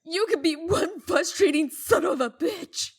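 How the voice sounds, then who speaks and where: A woman playing a brisk, exasperated fairy-tale princess turned official in a comic dub, curt and guarded. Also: very high voice, vocal fry, full character voice. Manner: curt, guarded, sharp-tongued.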